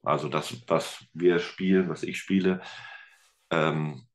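A man with a notably low voice talks in a relaxed interview chat. He explains at length, stopping often for fillers as he thinks. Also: takes it slowly. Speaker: podcast guest, teacher and guitarist.

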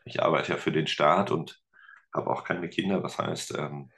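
A man's voice: extremely low pitch, fairly even intonation, normal speed, gravelly, fairly bright tone. A middle-aged man chatting in an interview, rambling with lots of fillers and self-deprecating humor. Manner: rambling, hesitant, many fillers. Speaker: guest, teacher and musician. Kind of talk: casual interview chat.

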